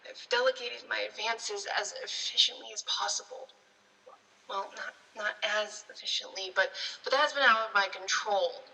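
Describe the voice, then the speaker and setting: A woman playing a desperate stranded scientist in a radio drama, halting and pleading as she logs a transmission. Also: mid-range voice, vocal fry, sharp tone. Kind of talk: radio drama acting, logged transmission monologue. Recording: echoey, distant, long reverb.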